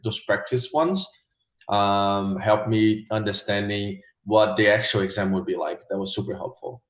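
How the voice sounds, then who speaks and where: Young brazilian guy on a video call, kinda low voice, lots of ums, roomy echo. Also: moderate pace. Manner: relaxed, hesitant, many fillers. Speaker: guest, former test taker. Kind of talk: casual interview answers.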